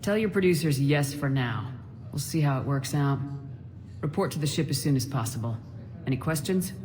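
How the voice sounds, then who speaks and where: An actor playing a resolute, composed military commander in a video game, measured and terse. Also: male voice, on the high side, lively intonation, a little mellow, lightly acted. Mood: commanding. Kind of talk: dramatic video game dialogue. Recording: reverberant hall, music behind. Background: music.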